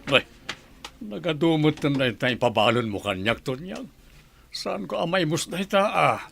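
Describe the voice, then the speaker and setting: A man's voice: on the high side, lively intonation, normal speed, gravelly, fairly bright tone, slight background noise. An actor playing a composed, authoritative governor and father in an Ilocano-accented radio drama.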